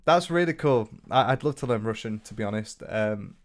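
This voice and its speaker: A man's voice: fairly low pitch, animated, moderate pace. Casual northern English man streaming. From north of England. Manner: rambling, frequent restarts, swears casually. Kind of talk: casual livestream chat reading comments.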